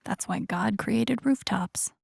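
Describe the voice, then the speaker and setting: A woman playing a wry, wounded, introspective young game heroine, speaking soft-spoken inner monologue in a naturalistic, breathy, slightly creaky voice. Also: medium pitch, takes it slowly, dry recording, clean studio sound, full character voice. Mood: pensive, wounded.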